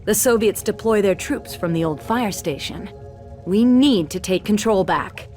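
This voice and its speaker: A woman playing a serious, steady resistance leader, giving earnest, controlled mission briefings in a video game. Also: mid-range voice, measured pace, faint music behind, lightly acted. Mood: earnest, urgent.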